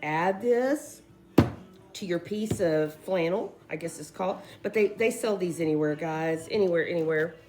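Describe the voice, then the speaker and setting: A woman who is losing her voice, hoarsely chatting her way through a casual craft tutorial in a rambling, informal way. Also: on the low side, normal speed, over loud music. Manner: rambling, informal, addresses viewers as guys. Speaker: craft video host.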